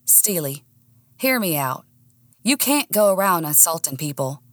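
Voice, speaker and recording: Woman acting a calm, reasoning man. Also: fairly low pitch, measured pace, no room sound. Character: calm, reasoning man soothing an upset friend.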